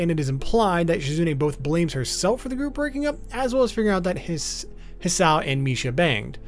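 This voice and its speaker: A man with a fairly high voice presents an informal commentary as a video host. His intonation moves around a great deal, and his delivery is conversational and loosely scripted. Music plays behind him. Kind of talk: informal explainer commentary on a visual novel. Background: background music.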